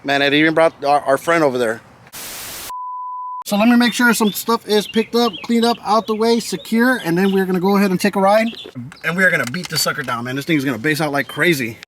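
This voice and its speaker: A man giving casual, excited vlog commentary as host of a car audio channel, with an informal, slangy, hyped-up delivery. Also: high-pitched, fairly quick.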